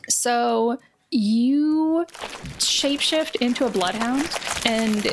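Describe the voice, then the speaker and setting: A woman's voice: a little high, takes it slowly, noisy background. A woman game master narrating a tabletop game in a casual, improvised, playful way.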